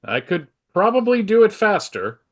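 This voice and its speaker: A man's voice: high voice, very animated, moderate pace. A man playing an earnest, self-assured superhero in a live dramatic comic book reading. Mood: confident. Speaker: performer in a dramatic comic book table read.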